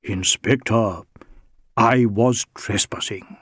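A man's voice: fairly low pitch, slow pace, fairly bright tone, husky, dry recording, studio quality, full character voice. A man voicing a big, brawny Sikh rogue in an audiobook, sly and teasing, with wheedling mock deference. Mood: feigned innocence. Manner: wheedling mock deference, Indian English phrasing. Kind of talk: audiobook character dialogue.

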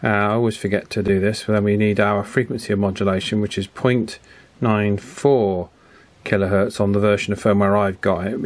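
A man giving a casual hands-on electronics tutorial, thinking aloud informally as he works at an indoor workbench. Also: lowish voice. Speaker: electronics hobbyist demonstrating radio alignment. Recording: clear background noise from workbench.

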